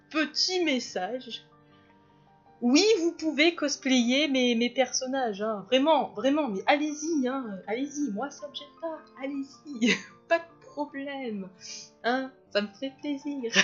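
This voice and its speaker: A woman's voice: mid-range voice, dull audio. Chatty woman narrating her drawing. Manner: chatty, rambling, laughs often. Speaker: illustrator and video host. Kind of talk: casual drawing commentary.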